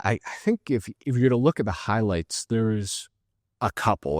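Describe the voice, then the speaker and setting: A low-pitched man from Canada talks through science in a relaxed interview, stopping often to restart his sentences. The sound is close and dry, with no room around it. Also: dry recording. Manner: hesitant, many fillers and restarts. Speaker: guest scientist. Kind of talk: casual conversational interview.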